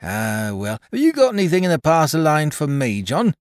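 Guy doing a fussy little old toymaker, high and jumpy, dry close sound. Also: huge pitch swings, moderate pace, no echo. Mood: anxious eager. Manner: eager, anxious, fussy. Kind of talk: storybook character voice.

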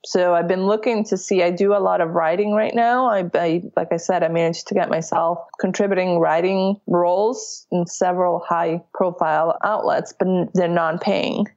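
A woman's voice: lowish voice, steady tone, moderate pace, few pauses, darkish tone. A woman from Italy, a small business owner, speaking candidly in a casual interview, with many fillers and restarts. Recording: dull lo-fi audio.

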